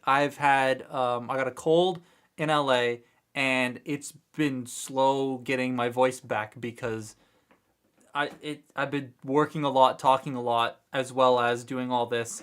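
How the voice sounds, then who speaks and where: A man's voice: mid-range voice, a bit bright. A man hosting a casual toy livestream, chatting to viewers in a rambling way with many fillers, still a little congested from a cold. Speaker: toy review livestream host. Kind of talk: casual live chat while building a kit. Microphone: desk mic close by.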